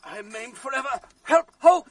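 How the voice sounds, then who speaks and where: A wounded lieutenant calling out. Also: male voice, very high voice, expressive, normal speed, a bit bright, far from the mic. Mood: crying out. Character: wounded young male lieutenant crying for help. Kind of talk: 1980s radio drama acting.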